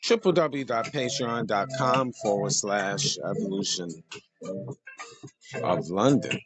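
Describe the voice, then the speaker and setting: A man hosting his podcast with a slightly hoarse voice, talking casually and repeating contact details in an easygoing, rambling way. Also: lowish voice, slow pace, soft background music, poor audio. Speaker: podcast host. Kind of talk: casual podcast talk with sponsor plugs.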